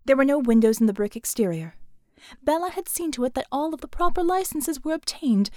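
A woman narrating a scripted audiobook novel in a measured, expressive storytelling manner. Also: high voice, no echo, clean studio sound.

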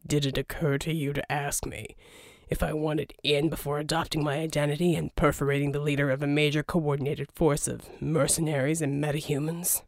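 Woman doing a low, muttering, wary male mercenary. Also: fairly quick, dry recording, clean studio sound. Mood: resentful, deadpan. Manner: muttering, deadpan, terse. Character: gruff, wary male mercenary, sardonic and unimpressed. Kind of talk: audiobook character dialogue.

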